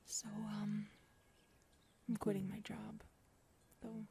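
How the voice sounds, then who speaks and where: A woman acting in an ASMR audio roleplay as a gentle, shaken specialist who confides haltingly and softly. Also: mid-range voice, level tone, vocal fry, a little hiss or hum. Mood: soft, reassuring. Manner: soft-spoken, hesitant, halting.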